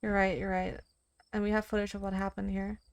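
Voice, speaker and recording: A woman's voice: mid-range voice, level tone, brisk, no room sound, close to an everyday voice. A woman voicing an earnest young female monster-hunting video maker in a visual novel. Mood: urgent, determined. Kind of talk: visual novel character read-aloud. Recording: music behind.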